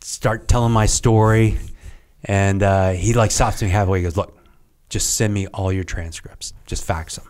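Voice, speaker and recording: A middle-aged man, a guest on a podcast, telling a story in a halting, rambling way that trails off quietly. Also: on the low side, fairly even intonation, clear, resonant tone. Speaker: podcast guest, retired Navy serviceman. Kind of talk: casual interview storytelling.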